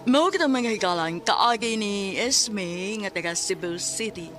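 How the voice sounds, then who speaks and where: A woman's voice: mid-range voice, lively intonation, measured pace. Female radio drama host, scripted announcer narration, middle register, over a faint music bed. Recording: music behind the voice.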